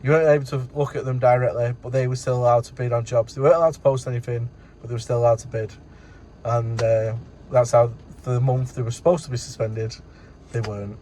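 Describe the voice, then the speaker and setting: A man's voice: medium pitch, steady tone, fast, warm tone, a little hiss or hum. A man giving a casual, rambling vlog update as a courier driver, in colloquial everyday speech.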